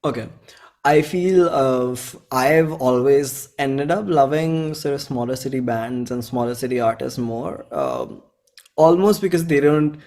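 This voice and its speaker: A man in his twenties speaks with an Indian accent in a mid-pitched voice. He talks informally, like a musician in a relaxed interview, halting and reworking his sentences as he thinks aloud. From central India. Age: 20s. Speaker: indie musician being interviewed. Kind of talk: casual interview chat.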